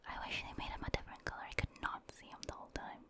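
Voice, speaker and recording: A woman whispers casual ASMR commentary over a video game, rambling and thinking aloud in a breathy, unvoiced voice. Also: very fast. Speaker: gaming ASMR video host.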